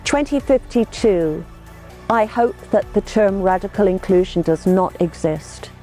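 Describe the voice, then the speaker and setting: Mellow-voiced woman interviewed about her work. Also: medium pitch, animated, a little slow, faint music behind. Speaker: project team member speaking in interview. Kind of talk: interview talk for a making-of documentary.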